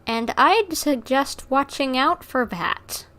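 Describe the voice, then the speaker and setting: A woman's voice: sits high, expressive, takes it slowly, a bit bright. A woman livestreamer giving casual, conversational game commentary, reacting aloud to the characters.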